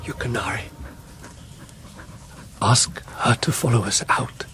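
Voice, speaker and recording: An actor playing a dreamy, curious emperor in a radio drama, speaking in a measured, stately, wondering way. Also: male voice, mid-range voice, background noise, close to an everyday voice. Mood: hushed, wary.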